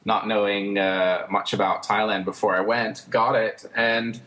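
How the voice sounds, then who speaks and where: A man from the United States talking as an interview guest, relaxed and hesitant, with easy laughs. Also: fairly low pitch, a little slow. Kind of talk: casual interview talk.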